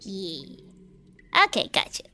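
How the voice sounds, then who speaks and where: Woman roleplay player, bright voice. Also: mid-range voice, huge pitch swings, slow pace. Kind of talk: narrating her character's actions.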